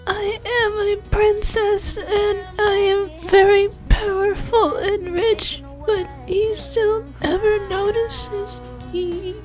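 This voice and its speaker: A woman voicing a whiny, lovesick anime schoolgirl princess in a squeaky, girlish fandub voice. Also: flat delivery, slow, a little mellow. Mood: lovesick, pining. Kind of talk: parody anime fandub acting. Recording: music behind the voice.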